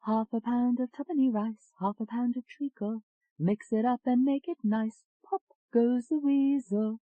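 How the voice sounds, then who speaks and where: Brash, high-pitched sultry Englishwoman. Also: moderate pace, no room sound, narrow, tinny audio. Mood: teasing, singsong. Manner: lively, impudent, interrupting.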